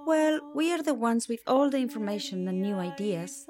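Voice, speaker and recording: An actress playing an earnest, idealistic young person answering directly in a radio play. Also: female voice, fairly high pitch, animated, normal speed, lightly acted.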